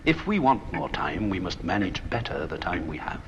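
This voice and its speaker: A muffled, noisy 16mm soundtrack carries a very deep male narrator. He delivers scripted corporate film narration with solemn, rhetorical emphasis and a lively rise and fall. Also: normal speed. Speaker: narrator and presenter.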